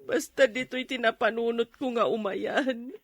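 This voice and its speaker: A woman's voice: on the high side, a little slow, dry recording, clean studio sound. A woman playing a heartbroken, apologetic Filipino wife confiding in a friend in a radio drama. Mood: tearful, hurt.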